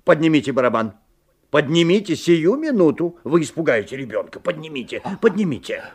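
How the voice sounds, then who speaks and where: Animated, uneasy father telling his story. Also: fairly high pitch, fairly quick, breathy edge, full character voice. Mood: alarmed. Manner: expressive, dramatic storytelling. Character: anxious, watchful father recounting a strange shop visit. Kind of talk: 1970s radio play narration.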